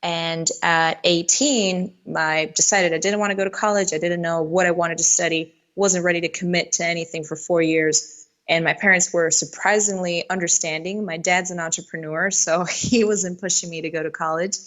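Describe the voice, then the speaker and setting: Relaxed woman guest, low-pitched. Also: normal speed, poor audio. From Spain. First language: Spanish. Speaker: guest, event planner. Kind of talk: casual interview answers.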